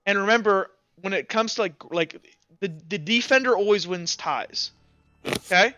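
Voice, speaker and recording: Male game master, high and forceful, explaining tabletop rules informally. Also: normal speed, dull audio.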